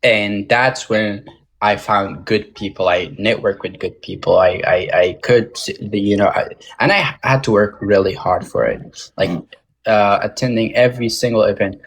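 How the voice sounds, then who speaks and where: A young man with a somewhat low voice talks in a halting, casual way. He restarts often and leans on fillers as a podcast guest. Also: poor audio. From Tajik American, born in Moscow. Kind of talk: casual interview talk.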